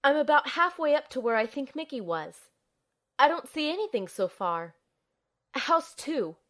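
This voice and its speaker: A woman's voice: high voice, normal speed. A woman playing an earnest, determined young operative, telling and acting out her mission expressively in an audio drama. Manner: expressive, storytelling. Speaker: narrator and lead character.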